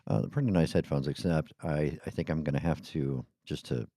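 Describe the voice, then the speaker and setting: Super deep gravelly guy rambling on his podcast, dry little studio sound. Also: brisk, warm tone, no echo. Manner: rambling, chatty, goes off on tangents. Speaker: podcast host. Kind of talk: casual rambling monologue. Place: small home studio with sound panels. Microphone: studio microphone.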